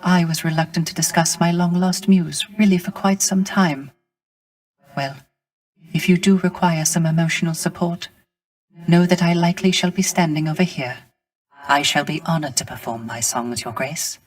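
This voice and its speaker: A man playing a gentle, melancholy male musician of the underworld in a video game, speaking formally and courteously with wistful, poetic, old-fashioned phrasing. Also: fairly high pitch, steady tone, moderate pace, natural voice. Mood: courteous, reserved. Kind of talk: scripted video game character acting.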